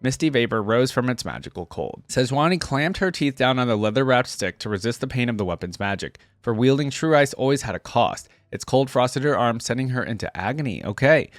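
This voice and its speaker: A man's voice: medium pitch, expressive, fairly quick, hardly any pauses, no echo, clean studio sound. A man hosting a reaction video, reading a story aloud and commenting informally in a casual style. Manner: informal, breaks off to comment on what he reads.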